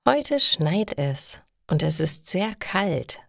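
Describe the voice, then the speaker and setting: A woman's voice: lowish voice, sing-song, slow, gravelly, dry recording, clean studio sound. A woman reading scripted, simple stories for language learners in careful short sentences.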